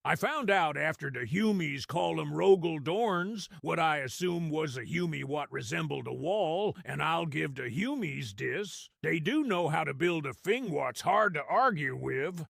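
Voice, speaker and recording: A man voicing a small, cunning goblin-like grot with a gravelly Cockney-style accent, telling a war tale in a wry, conspiratorial way for an audio drama. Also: on the high side, fairly bright tone, no room sound, clean studio sound, exaggerated voice. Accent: Cockney-style English. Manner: wry, conspiratorial, streetwise, ungrammatical slang. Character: small cunning cowardly male grot narrator, wry storyteller. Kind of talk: in-character audio drama storytelling.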